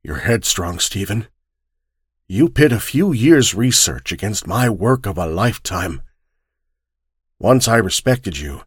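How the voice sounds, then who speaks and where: A man's voice: mid-range voice, wildly swooping pitch, unhurried, fairly bright tone, breathy edge, dry recording, clean studio sound, exaggerated voice. A man playing an obsessive gaunt old man. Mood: intense pleading.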